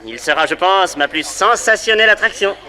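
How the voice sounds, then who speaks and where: An actor playing an excitable male museum showman in a radio drama, animated and exclamatory. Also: high-pitched, brisk, exaggerated voice, rough recording. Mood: showy.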